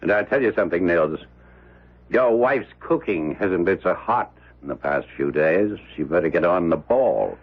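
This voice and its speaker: Husky, bossy rich man. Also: deep voice, expressive, moderate pace, full character voice. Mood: boastful, demanding. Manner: domineering, blunt. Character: bossy, jealous, boastful rich man, gruff and slangy. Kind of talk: radio drama acting. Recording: dull lo-fi radio drama.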